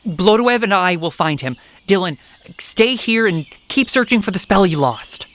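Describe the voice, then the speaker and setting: A woman's voice: mid-range voice, animated, normal speed, fairly bright tone, close to an everyday voice. A woman playing a dry, practical young royal in a comic stage-style audio drama. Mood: practical, brisk.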